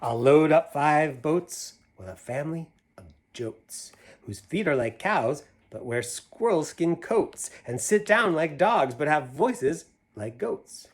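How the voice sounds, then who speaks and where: A man voicing an eager young boy from a children's picture book, boasting excitedly in sing-song rhyme. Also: fairly high pitch, slow. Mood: excited, grand. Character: eager young boy dreaming up his own zoo.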